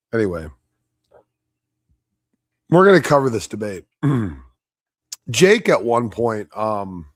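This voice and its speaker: Blunt, profane man with slightly hoarse voice. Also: on the low side, big pitch swings, slow, long pauses, no echo, studio quality. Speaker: debate reviewer streaming live. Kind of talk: casual livestream commentary.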